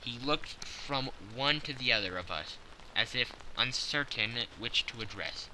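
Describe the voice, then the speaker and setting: A man's voice: mid-range voice, lively intonation, a little slow, bright, forceful tone, a little hiss or hum, poor audio. A man narrating a scripted story as an audiobook reader, with faint rain behind him.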